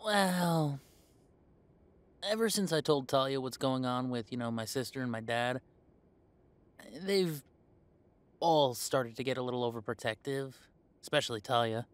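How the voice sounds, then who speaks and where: A man's voice: medium pitch, unhurried, long pauses, close to an everyday voice. A man playing an easygoing, confident young werewolf in a roleplay audio drama, talking in a chatty, hesitant, conversational way. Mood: reflective, easygoing. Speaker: voice actor.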